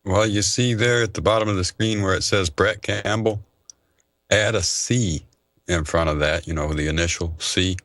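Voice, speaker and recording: A man appearing as a guest panelist and audio engineer, talking in a relaxed, hesitant way with lots of fillers. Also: low voice, moderate pace, no echo, studio quality. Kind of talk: casual panel chat.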